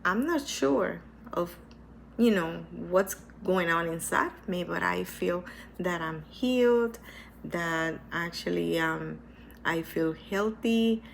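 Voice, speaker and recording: Woman, low and gravelly, stuffy with a cold; unhurried, earnest personal vlog, hesitant delivery. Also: slight background noise. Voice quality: hesitant, with many restarts and repetitions. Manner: earnest, reflective, speaks slowly. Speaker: vlogger sharing her cancer experience. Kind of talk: casual personal talk to camera.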